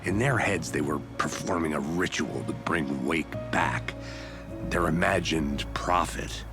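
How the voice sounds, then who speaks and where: Game detective, extremely low voice, measured, deadpan, guarded, over clear music. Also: male voice. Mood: grave, confiding. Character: weary, guarded male detective confessing past cases. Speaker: FBI detective. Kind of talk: cinematic video game dialogue. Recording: music under the voice.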